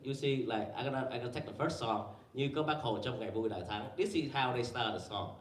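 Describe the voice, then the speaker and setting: A man presenting at a conference panel, talking haltingly with many fillers and restarts and quizzing the audience. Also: medium pitch, slight background noise. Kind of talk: academic presentation with slides. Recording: echoey room, mic far away.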